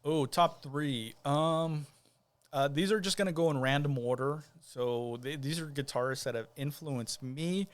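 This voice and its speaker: A man's voice: fairly high pitch, measured pace. A man talking casually as a guest on a podcast, easygoing and rambling, with plenty of fillers and restarts. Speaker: guest, judicial candidate.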